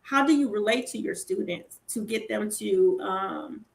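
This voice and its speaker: A woman in her forties co-hosting a podcast, chatting casually in an animated, informal, slangy way. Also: mid-range voice, unhurried, creaky. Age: 40s. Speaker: podcast co-host. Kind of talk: casual chat.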